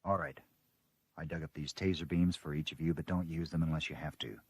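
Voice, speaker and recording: Guarded councilman in a sci-fi radio play, low voice, steady and measured. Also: husky. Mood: firm. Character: steady, guarded male government councilman turned investigator. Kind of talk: science fiction audio drama.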